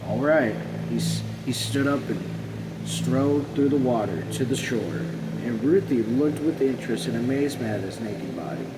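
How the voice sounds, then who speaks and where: A man narrating a novel aloud in a measured, plain manner with occasional stumbles. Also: medium pitch. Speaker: read-along narrator. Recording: loud steady air or car noise.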